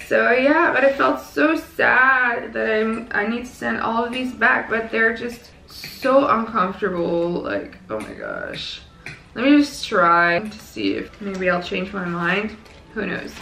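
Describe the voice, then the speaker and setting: Bubbly, slightly raspy young woman vlogging. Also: on the high side, a bit bright, a little hiss or hum. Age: young adult. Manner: bubbly, gushing, laughs often. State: raspy from lack of sleep. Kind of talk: casual haul vlog. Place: bright living room, on a sofa. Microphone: camera mic from a few feet away. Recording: roomy echo from camera distance.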